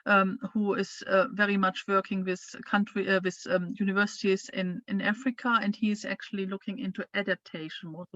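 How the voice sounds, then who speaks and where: A woman's voice: medium pitch, fairly bright tone, no echo, dull audio. A woman with a German accent giving an online training presentation, speaking hesitantly with many fillers and restarts. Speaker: climate training lecturer.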